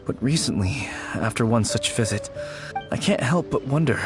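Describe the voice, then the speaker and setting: A man's voice: mid-range voice, animated, moderate pace, natural voice. Guy acting as anxious sad dad in an anime game, hesitant, music behind him. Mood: sorrowful. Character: troubled, guilt-ridden father working at funeral parlor.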